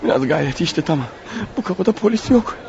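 A man's voice: fairly high pitch, animated, brisk, a little mellow, a little hiss or hum. An actor playing an exhausted, wounded man on the run in a radio play, urgent and breathless as he mutters to himself. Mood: panicked, straining. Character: desperate wounded fugitive man, exhausted, racing to his wife. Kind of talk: radio theatre acting, inner monologue. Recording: muffled radio drama recording. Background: music underneath.

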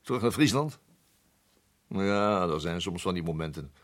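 A world-weary colonial captain in a radio drama. He has a fairly low voice and talks bluntly and familiarly, like an old comrade given to musing. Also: animated, normal speed. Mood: wistful. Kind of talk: radio play acting.

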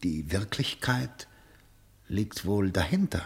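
An actor playing a stern senior prosecutor who questions formally and insistently in a 1960s German radio play. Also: male voice, low-pitched, lively intonation, slow pace, heavily acted. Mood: searching. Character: stern, probing senior prosecutor interrogating a prisoner. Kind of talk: German radio play acting, around 1960.

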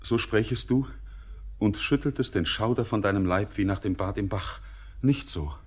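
An actor playing a haunted, yearning young stranger in a classic radio drama, declaiming poetic in a solemn, intense, husky voice. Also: male voice, on the low side, moderate pace. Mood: urgent, pleading.

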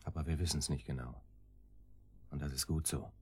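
A man's voice: very deep voice, steady tone, normal speed, mellow, husky. An actor playing an older, cautious henchman in a radio drama, hesitant and brooding as he reasons things through. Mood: brooding doubt.

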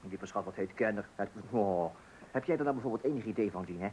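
An actor playing a boyishly enthusiastic husband and amateur angler in a radio play, eager, stammering and repeating himself. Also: male voice, on the low side, fast talker, darkish tone, slight background noise, close to an everyday voice, low-quality recording. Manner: stammering, repetitive, eager, full of interjections.

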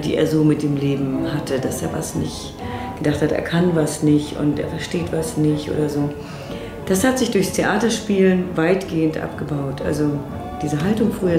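Reflective middle-aged woman, slightly low-pitched, roomy sound. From East Germany. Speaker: founder of an inclusive theater group. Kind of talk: reflective documentary interview.

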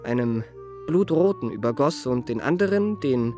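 An actor playing an elegant, eloquent gentleman adventurer telling his memoirs in a refined, ornate manner for a radio drama. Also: mid-range voice, expressive, faint music behind, lightly acted. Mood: grave, ornate. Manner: ornate, measured, refined phrasing. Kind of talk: literary radio drama narration.

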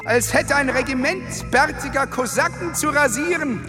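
A man playing a pompous, jittery army captain in a radio play, mocking in a drawn-out declamation. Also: very high voice, music in the background. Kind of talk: theatrical German radio drama acting.

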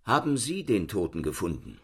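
A man playing a zealous, sceptical Paris police inspector in a radio crime comedy, questioning briskly and dryly. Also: on the low side, big pitch swings, fairly bright tone, breathy edge, full character voice. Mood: probing.

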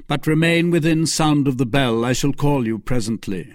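A man's voice: medium pitch, normal speed, no room sound, studio quality, voice pushed up, lightly acted. A man voicing a proud, commanding duke who answers with haughty dismissal.

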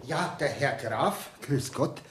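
Lively, obliging go-between. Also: mid-range voice, takes it slowly, noisy background. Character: obliging, talkative go-between who ushers in and persuades customers. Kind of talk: German radio drama acting.

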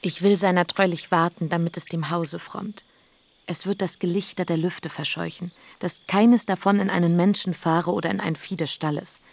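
Lea: proud, possessive elder sister, lower voice, radio drama. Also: female voice, fairly even intonation, normal speed, creaky, darkish tone. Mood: guarded.

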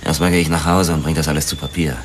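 An actor in a German radio drama playing a hard-boiled cop, questioning relentlessly with scorn. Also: male voice, a bass voice, fairly even intonation, rapid-fire, a little hiss or hum. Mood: scornful, bitter. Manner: pressing, scornful.

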